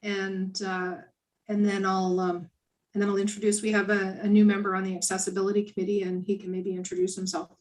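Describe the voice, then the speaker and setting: A woman chairing a committee meeting over a video call, friendly and halting, with many fillers and restarts. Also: mid-range voice, completely flat delivery, a little mellow, low-quality recording. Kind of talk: casual meeting chat.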